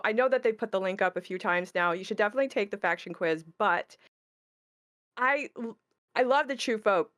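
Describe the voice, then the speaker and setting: A woman's voice: a little high, fairly bright tone. A woman who works as a game designer, talking conversationally about how a game was made.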